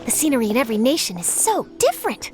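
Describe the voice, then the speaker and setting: A woman's voice: sits high, big pitch swings, quick pace. A woman voicing an eager, polite young female journalist in a video game, chatty and earnest. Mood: enthusiastic. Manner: bright-eyed, chatty, earnest. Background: game music.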